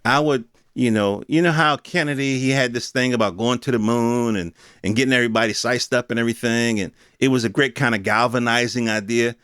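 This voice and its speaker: A man's voice: medium pitch, steady tone, a little fast, hardly any pauses, fairly bright tone, clean tone, no room sound. Man, fifties, American accent, casual ranting podcast host, emphatic and slangy, very dry sound. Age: 50s. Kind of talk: opinionated solo monologue, casual rant.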